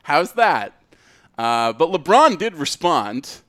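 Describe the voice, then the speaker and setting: A man's voice: a little high, big pitch swings, slow pace, fairly bright tone, dry recording. Clean, dry-sounding man chatting sports. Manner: profane, conversational. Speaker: podcast co-host. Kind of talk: casual sports chat.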